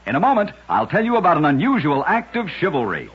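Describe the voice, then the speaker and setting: A man hosting short radio tales of oddities, reading scripted narration in a measured old-time style with emphatic sign-offs. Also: fairly high pitch, huge pitch swings, breathy edge. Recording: dull, lo-fi old radio broadcast.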